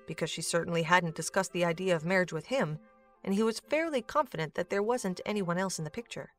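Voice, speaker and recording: A woman's voice: lowish voice, fairly quick. A woman reading scripted narration for a fantasy audio drama. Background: music.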